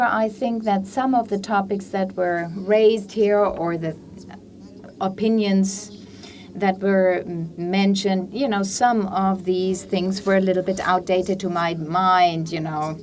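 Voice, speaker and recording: A woman's voice: fairly low pitch, a little mellow, a little hiss or hum. A woman at a panel discussion's Q&A, speaking hesitantly with many fillers. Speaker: audience member at a panel discussion. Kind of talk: conference Q&A comment. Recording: distant mic, faint hall ambience.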